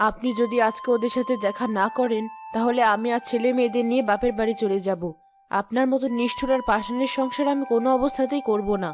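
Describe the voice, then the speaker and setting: A woman's voice: fairly high pitch, steady tone, fast talker, music in the background, close to an everyday voice. A woman voicing a village headman's compassionate, outspoken wife in a cartoon, reproachful and moralizing. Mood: defiant. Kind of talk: cartoon voice acting.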